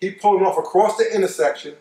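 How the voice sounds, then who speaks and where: High-pitched older American man telling stories. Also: fast, dark tone. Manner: colloquial, repeats phrases for emphasis. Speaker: storyteller. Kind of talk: animated personal storytelling, casual. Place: living room couch, indoors. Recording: roomy, distant microphone.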